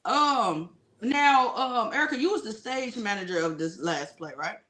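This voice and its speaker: A man's voice: high-pitched, lively intonation, moderate pace, pushed and bright. A man hosting a casual live podcast, laid-back and slangy, with an Ohio accent. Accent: American English, Ohio. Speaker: podcast host.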